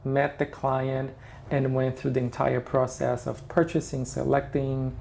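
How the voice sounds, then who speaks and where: Gravelly man with a Brazilian accent. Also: medium pitch, completely flat delivery, a little slow, a little mellow. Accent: Brazilian Portuguese. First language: Portuguese. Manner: chatty, restarts sentences, acts out quotes. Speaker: interior designer guest. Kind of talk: casual interview chat.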